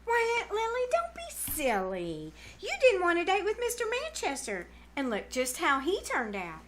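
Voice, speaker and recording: Woman acting scheming teen girl, high swooping voice, emphatic, commanding, radio comedy. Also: huge pitch swings, moderate pace, slight background noise, heavily acted. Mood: persuasive. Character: bossy, scheming, dramatic teenage girl. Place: live on a small theater stage.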